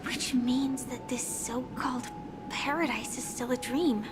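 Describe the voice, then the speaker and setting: An actress playing a gentle, earnest young woman in a video game, speaking in a thoughtful and sincere way. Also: high voice, steady tone, a little slow, noisy background. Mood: doubtful, gentle. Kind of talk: game dialogue. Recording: loud music behind the voice.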